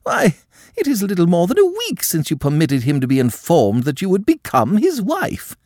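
Male reader doing prudish aristocratic matron, high-pitched, indignant, wildly swooping delivery. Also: wildly swooping pitch, moderate pace, a little mellow, no room sound, clean studio sound, full character voice. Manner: shocked, scolding, high-pitched. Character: haughty prudish aristocratic older countess.

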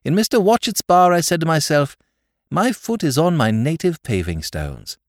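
A man's voice: on the high side, very animated, normal speed, no echo, studio quality, voice pushed up. A man voicing an eager, talkative Cockney pub landlord of about fifty-five, in a London Cockney accent. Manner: chatty, eager, dropped grammar.

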